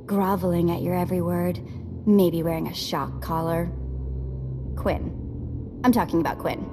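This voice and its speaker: A woman's voice: on the low side, steady tone, measured pace, natural voice. An actress playing a spunky, sarcastic young female rogue in a video game, with a teasing, informal way of talking. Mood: teasing, casual. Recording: music behind the voice.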